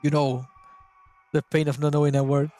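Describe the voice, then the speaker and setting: A man's voice: fairly high pitch, flat delivery, normal speed, warm tone. A young man, chatting casually through a gameplay guide and sometimes hunting for words. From Argentina. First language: Spanish. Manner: chatty, searches for words, swears at the game. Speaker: gaming YouTuber.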